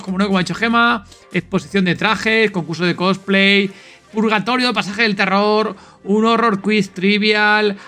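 High-pitched, bright Spanish man hosting. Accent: Spanish from Spain. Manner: enthusiastic, informal. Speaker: podcast host. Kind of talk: casual podcast announcements and chat.

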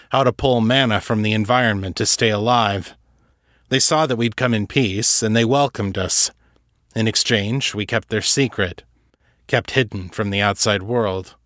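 A man voicing a gruff, cagey disembodied ex-commander in an audiobook, with measured, wry, folksy speech. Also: mid-range voice, fairly bright tone, no room sound, clean studio sound, natural voice.

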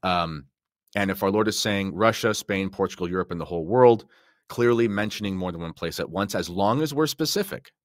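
A man's voice: low voice, no room sound, clean studio sound. A man talking casually and emphatically as he explains religious material on a podcast. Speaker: podcast guest discussing religion. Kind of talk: casual explanatory talk with slides and notes.

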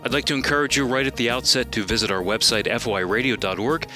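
A man co-hosting a radio program, reading scripted announcements and news in a polished, measured way. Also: mid-range voice, music in the background.

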